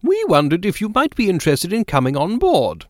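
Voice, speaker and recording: An effusive, genteel lady of great curiosity, played by a male audiobook reader. The delivery is lively and emphatic, lifting in pitch as the polite chatter presses on. The sound is close and dry. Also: brisk, no echo. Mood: eager. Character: genteel chatty inquisitive lady, warmly insistent. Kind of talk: audiobook character dialogue.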